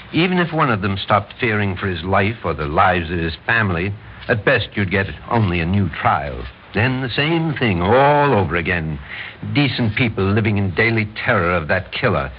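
A man's voice: fairly low pitch, animated, normal speed, slight background noise, lightly acted. Man acting old American Major, dull radio recording. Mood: insistent. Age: older. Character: proud, needling old Major, a domineering father-in-law.

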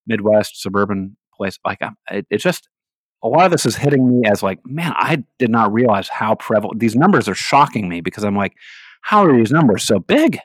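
A man's voice: on the low side, big pitch swings, fairly quick, no echo. A man from the American West, co-hosting a podcast, who rambles casually and searches for his words. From Hawaii and Seattle, USA. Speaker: podcast co-host.

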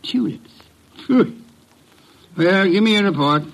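An actor playing a pompous, fussy, irritable detective genius in a classic radio drama, speaking with formal, disdainful diction. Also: male voice, high voice, lively intonation, takes it slowly, creaky, full character voice, poor audio. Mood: grumpy.

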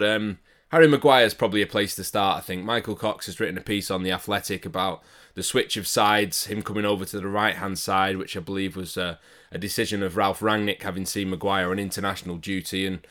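A man's voice: deep voice, fairly even intonation, a little fast, a bit bright. A man hosting a podcast, steering the talk with easy questions. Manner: conversational, steers the discussion with questions. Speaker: podcast host. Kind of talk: casual chat.

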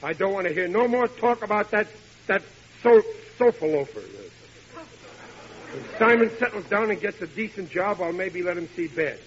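A man's voice: high-pitched, moderate pace, a bit bright. An actor playing a blustering, dim-witted working-class family father in a 1940s radio sitcom, indignant and folksy with ungrammatical speech. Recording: hissy vintage radio broadcast, dull.

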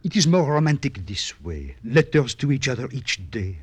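An actor playing a smooth-talking young suitor, flowery and gushing, in 1940s radio-acting style. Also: male voice, mid-range voice, very animated, normal speed. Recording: old radio recording with faint hiss.

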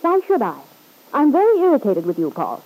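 A proud, irritated wife in an old radio play. She answers in clipped, indignant lines with a mellow, warm timbre. Her pitch moves in wide, lively swings. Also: fairly quick, full character voice, rough recording. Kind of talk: 1940s radio drama acting.

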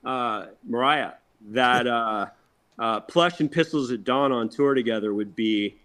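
A middle-aged man talks as a rock musician in a casual interview. His voice sits at an ordinary pitch. He is enthusiastic and slangy, often repeating words as he builds a thought. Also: measured pace, fairly bright tone, clear, resonant tone. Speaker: rock band member being interviewed.

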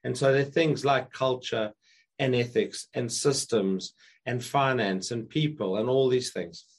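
A hoarse man with a croaky voice, a guest speaker on a video call, telling stories in casual conversation and often restarting his sentences. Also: mid-range voice, measured pace. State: hoarse, recovering from illness. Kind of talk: casual interview chat.

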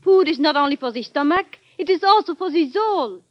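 An actress playing a haughty, temperamental French cook with a French accent and a dramatic, pompous manner in an old-time radio drama. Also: female voice, high voice, animated, a little fast, no echo. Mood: offended pride. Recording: dull vintage radio recording.

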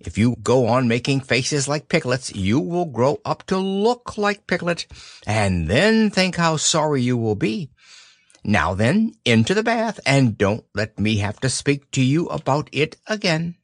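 A motherly storybook kangaroo , as a male narrator plays her, with a raised, lilting voice. She fusses briskly and soothingly, firm underneath, never hurried. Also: a little high, sing-song, gravelly, a bit bright, no room sound, studio quality, voice pushed up, heavily acted. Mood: mildly severe. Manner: cheerful, fussing, mildly severe. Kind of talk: storybook character reading.

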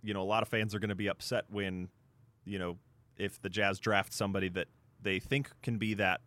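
Low-voiced American man chatting on radio. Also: flat delivery, fairly quick, fairly bright tone, no echo. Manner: hesitant, peppers talk with "you know". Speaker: sports radio co-host. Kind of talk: casual chat.

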